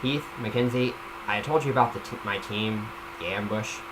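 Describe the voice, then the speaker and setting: A man voicing an urgent, hot-tempered woman driver in a casual story reading, forceful and clipped. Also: lowish voice, moderate pace, close to an everyday voice. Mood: frustrated, forceful. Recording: noisy home microphone recording.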